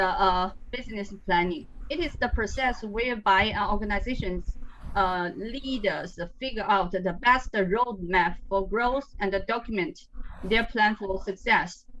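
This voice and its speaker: A woman's voice: medium pitch, a bit bright, lo-fi sound, slight background noise. A woman from China giving a class group presentation over a video call, reading prepared notes with frequent fillers. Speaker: student presenter.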